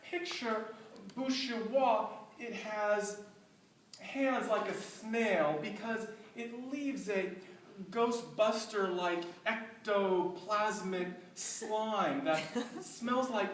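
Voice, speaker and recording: A middle-aged speaker telling a tall tale to a live audience in a deliberate, mock-scholarly way with dramatic pauses. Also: mid-range voice, a bit bright, distant mic. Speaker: speaker giving a humorous club speech. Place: indoor room with live audience. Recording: echoey room from afar, faint noise.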